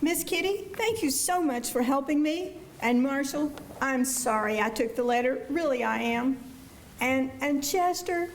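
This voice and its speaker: A woman playing an excitable, sweet young housekeeper in a radio drama, speaking politely and earnestly. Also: sits high, a little slow. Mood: excited, grateful. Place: school auditorium stage. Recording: live stage recording, faint noise.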